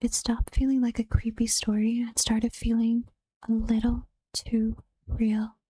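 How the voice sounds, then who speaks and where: A woman reads creepy tales in a hushed, half-whispered ASMR voice, pitched a little high. Her tone stays dark and breathy, with almost no rise or fall. The sound is dry and close, with no room around it. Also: completely flat delivery, a little slow, no room sound. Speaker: vtuber reading Reddit ghost stories. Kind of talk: soft-spoken ASMR story reading.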